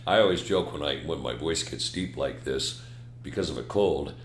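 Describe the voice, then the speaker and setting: An older man with a very low voice made even deeper and rougher by a chest cold. He speaks in an easygoing, self-deprecating way, and the studio sounds roomy with a faint hum. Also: normal speed. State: chest cold, hoarse deep voice. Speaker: podcast co-host, retired newsman.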